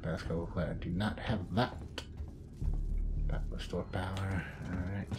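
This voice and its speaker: Guy with a lowish voice playing a game, muttering to himself, noisy background with game sound. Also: steady tone, unhurried. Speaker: video game player, host. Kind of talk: casual let's play commentary. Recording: noisy home recording over game sound.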